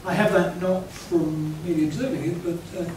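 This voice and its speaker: A man on an academic panel speaking in a measured, scholarly way. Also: on the high side, steady tone. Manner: measured, scholarly, clears his throat. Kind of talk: academic round-table discussion. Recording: echoey hall, distant mic, background noise.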